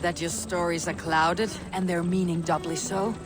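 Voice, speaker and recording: A woman's voice: fairly low pitch, level tone, moderate pace, over music, close to an everyday voice. An actress playing a blunt, wary Viking warrior woman in a video game, terse and dry in manner. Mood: dry, skeptical. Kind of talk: dramatic video game acting.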